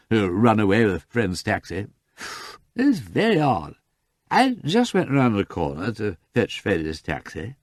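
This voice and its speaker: A man reading aloud puts on a drunken young aristocrat who slurs, giggles and rambles. The voice leaps high and loud, swooping widely, in a dry, close sound with no room around it. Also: no echo, heavily acted. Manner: tipsy, giggling, slurred and rambling. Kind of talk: audiobook character voice.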